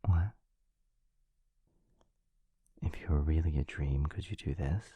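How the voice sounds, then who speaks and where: A man playing a tender, devoted vampire lover in an intimate romantic ASMR roleplay, speaking softly and haltingly with a breathy, often whispered voice. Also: very deep voice, no room sound, muffled recording. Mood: awed, loving. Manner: soft, halting, earnest delivery.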